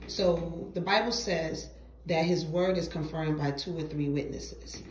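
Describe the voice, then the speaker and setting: A woman acting as a church interviewer, speaking in a measured, formal, devout way from off camera. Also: deep voice, a little hiss or hum. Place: indoor room, off camera. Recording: echoey room.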